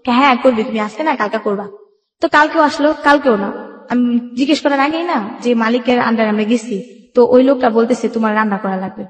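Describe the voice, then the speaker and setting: Female, Bangladeshi accent, interview guest recounting life story colloquially, quick delivery, reverberant sound. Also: a little high. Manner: colloquial, acts out remembered dialogue. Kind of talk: personal storytelling in a radio interview. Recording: echoey room with long reverb.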